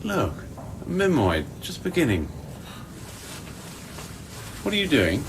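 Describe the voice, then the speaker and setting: A man's voice: on the high side, huge pitch swings, slow, background noise, heavily acted. A man acting in a radio play as a wry, concerned scientist on a space station, talking in a musing, probing way. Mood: worried, insistent.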